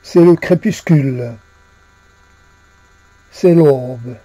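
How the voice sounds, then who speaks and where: Very slow, solemn man reciting poetry. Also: medium pitch, warm tone, dry recording, poor audio. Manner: solemn, halting, with repeated phrases. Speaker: poet reciting own poem.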